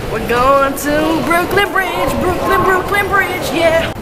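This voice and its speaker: A woman's voice: extremely high pitch, takes it slowly, over a noisy crowd. A young woman chatting playfully to a handheld camera as she walks outdoors through a city. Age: young adult. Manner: playful, sing-song, chatty while walking. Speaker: vlogger. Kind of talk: casual vlog chat. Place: outdoors on a city bridge and streets. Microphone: handheld camera at arm's length. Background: loud background music.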